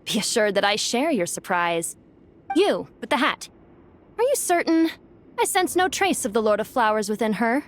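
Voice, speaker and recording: A woman's voice: fairly high pitch, very animated, normal speed. A woman playing a proud ancient spirit in a video game, with haughty, archaic formal speech. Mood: suspicious, haughty.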